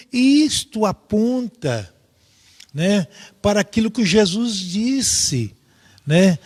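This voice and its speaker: A man with a Brazilian accent teaching a Bible study in a deliberate, halting way, often checking in with listeners. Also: high-pitched, darkish tone, no room sound, clean studio sound. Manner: deliberate, halting, with frequent rhetorical check-ins.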